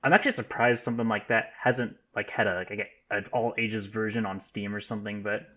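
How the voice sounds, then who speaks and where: A man's voice: fairly low pitch, fairly even intonation, fairly quick, fairly bright tone. A man chatting casually in a hesitant, unassuming way. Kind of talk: casual panel chat.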